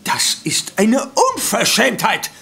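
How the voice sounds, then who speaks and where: A man's voice: sits high, expressive, slow, gravelly, noisy background, exaggerated voice. A man playing an arrogant, scheming doctor in an audio drama, cornered, indignant and blustering in defence. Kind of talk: German audio drama acting.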